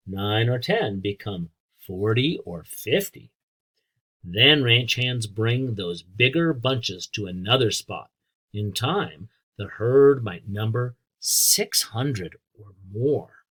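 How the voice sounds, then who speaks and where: A husky-voiced American man reads aloud like a teacher with young pupils. His pace is unhurried and his pitch rises and falls in a lively way. He explains things in simple terms and talks straight to the children. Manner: simple, explanatory, addressing young listeners with questions. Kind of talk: read-aloud lesson with asides and questions.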